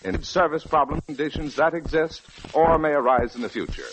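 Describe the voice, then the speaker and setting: A man's voice: on the high side, fairly quick, a bit bright. An American man reading a 1940s radio advertisement in an earnest, formal announcer's style. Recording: noisy, crackly 1940s radio broadcast.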